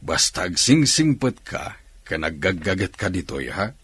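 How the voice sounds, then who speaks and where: A man with an Ilocano accent playing a bossy but helpful factory boss in a radio drama, with a husky voice. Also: deep voice, very animated, a little slow, fairly bright tone, no echo, full character voice. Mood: gruff, advising.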